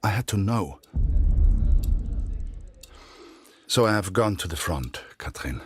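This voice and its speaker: A man's voice: low voice, lively intonation, moderate pace, a bit bright, husky, heavily acted. An actor playing an earnest, determined middle-aged father turned army engineer, narrating a letter in a video game. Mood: resolute. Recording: music behind the voice.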